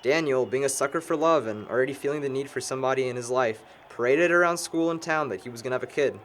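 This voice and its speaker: A man's voice: mid-range voice, quick pace, fairly bright tone, slight background noise, lightly acted. Man acting a wordy young American know-it-all. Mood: matter-of-fact. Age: young adult. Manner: long-winded, dryly sarcastic. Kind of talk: conversational audio drama acting.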